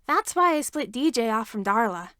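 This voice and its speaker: Woman playing an eager, high-pitched nerdy inventor woman. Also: moderate pace, no room sound, clean studio sound. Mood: excited, nerdy. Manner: animated, informal, enthusiastic talk.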